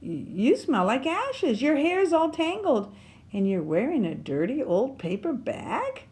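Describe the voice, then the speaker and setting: A woman's voice: sits high, sing-song, unhurried, a little mellow, voice pushed up. Lady doing a vain stuck-up young prince in a kids story, disdainful, lilting up and down. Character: snooty, vain young prince who scolds.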